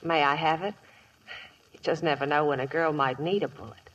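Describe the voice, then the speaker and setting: A woman's voice: deep voice, fairly even intonation, fairly quick, fairly bright tone, muffled recording. Plucky, wistful frontier woman. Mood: tender, wistful. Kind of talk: 1950s radio western acting.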